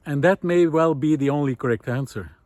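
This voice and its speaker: A man talking reflectively while walking on a forest path outdoors, pausing often with fillers and lifting his voice on key points. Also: on the high side, a little mellow, no echo. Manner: thoughtful, many pauses and fillers, rising emphasis on key points. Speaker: nature video essayist.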